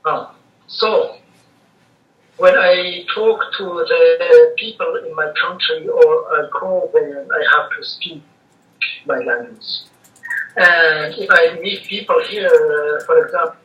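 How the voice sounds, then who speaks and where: Young male student, native Kurdish speaker, soft-spoken second-language answers, muffled video-call audio. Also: a little high, slow. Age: young adult. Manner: hesitant, searches for words with many uh's. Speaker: student being interviewed. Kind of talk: halting conversational answers. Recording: distant, echoey, dull call audio.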